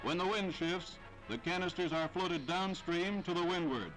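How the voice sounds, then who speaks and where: A man's voice: sits high, moderate pace, low-quality recording. A man delivering scripted 1940s newsreel narration in a formal, declamatory way with dramatic phrasing. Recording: vintage 1940s newsreel soundtrack, loud music.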